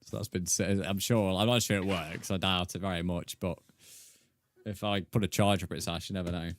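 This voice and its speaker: A man's voice: sits low, fairly even intonation, fairly quick. An English man chatting casually with viewers as a live streamer, in a rambling, matey way. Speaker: video game live streamer.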